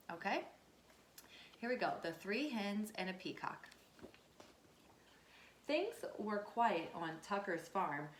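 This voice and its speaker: A woman's voice: mid-range voice, a little hiss or hum. A woman teacher reading a children's storybook aloud and checking in with her listeners in an instructive way. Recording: echoey room.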